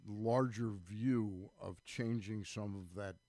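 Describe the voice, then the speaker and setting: A man's voice: fairly low pitch, fairly even intonation, slow, dark tone, dry recording. A man talking conversationally as a commentator on a sports radio show in a studio.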